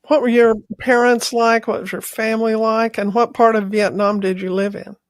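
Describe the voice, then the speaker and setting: A woman's voice: fairly high pitch, little pitch movement, moderate pace, no echo. A woman hosting a podcast, introducing her guest and asking questions in a friendly manner. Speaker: podcast host.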